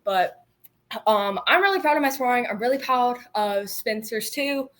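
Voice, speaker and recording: A woman, a martial arts competitor, answering interview questions eagerly, with a loud voice and many fillers. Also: a little high, normal speed. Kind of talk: post-match interview.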